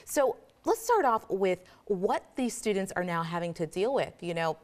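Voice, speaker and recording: A woman anchoring a local morning TV newscast in a studio, reading stories and interviewing a guest in a brisk, clear newscaster manner. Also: mid-range voice, animated. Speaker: news anchor. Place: TV news studio.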